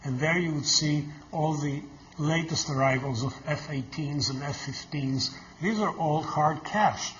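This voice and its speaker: A man's voice: on the high side, a little slow, fairly bright tone, a little hiss or hum. Older man lecturing. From Greece. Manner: direct, emphatic, plain-spoken. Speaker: guest lecturer. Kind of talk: lecture Q&A answers. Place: lecture hall. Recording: slightly muffled lecture recording.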